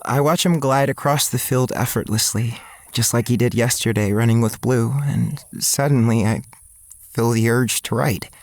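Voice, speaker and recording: A man's voice: mid-range voice, normal speed, darkish tone, no echo, lightly acted. An insecure, dreamy young man played by an actor tells his own story as audio drama narration. He sounds earnest and introspective, in a mid-range voice. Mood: wistful, conflicted. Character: self-conscious, dreamy, insecure young male student writer.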